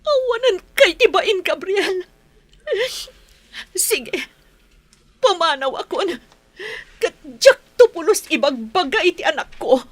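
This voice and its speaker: A high-voiced, earnest young woman in an Ilocano radio drama. She sounds wounded yet proud, with an Ilocano Filipino accent. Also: expressive, slow, pauses often, no room sound, exaggerated voice. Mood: hurt, pleading.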